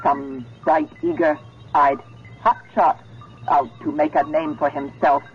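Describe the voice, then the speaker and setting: Sinister computer, male voice, very slow, deliberate, insistent, coldly menacing, radio play, dull vintage recording. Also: on the high side, flat delivery, slightly rough, soft background music, full character voice. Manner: slow, halting, deliberate phrasing. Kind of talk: 1970s radio drama acting.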